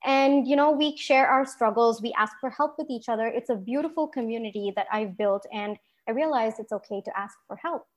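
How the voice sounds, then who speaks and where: A young woman from Singapore talks as an interview guest, her voice sitting a little high. She is lively and laughs easily, often restarting her sentences as she goes. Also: fairly quick, few pauses. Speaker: guest entrepreneur. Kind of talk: conversational podcast interview.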